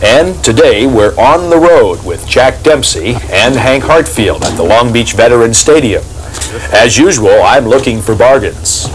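A man's voice: medium pitch, expressive, a little slow, poor audio. Male host narrating over background noise. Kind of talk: scripted narration.

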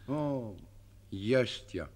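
An actor playing a suspicious, insistent police inspector in an old radio drama, asking pointed questions. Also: male voice, mid-range voice, expressive, extremely slow, darkish tone, slightly rough, full character voice.

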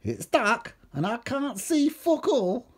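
A woman voicing a crude, excitable Geordie man in a comic poem, coarse and whiny, with a Geordie accent. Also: mid-range voice, measured pace, husky, pitched above the performer's own voice, heavily acted. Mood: whinging.

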